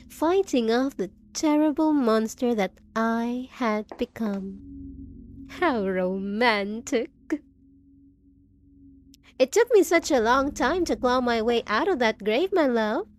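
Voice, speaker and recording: A woman's voice: medium pitch, darkish tone, slight background noise. A woman playing a possessive, unhinged undead bride in a roleplay audio drama, cooing endearments with theatrical, sinister sweetness. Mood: nostalgic, sinister sweetness.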